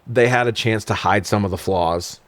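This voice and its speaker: Deep-voiced man chatting casually. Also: normal speed, no room sound. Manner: joking, casually profane. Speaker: podcast co-host reviewing films. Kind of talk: casual film review chat, recapping scenes.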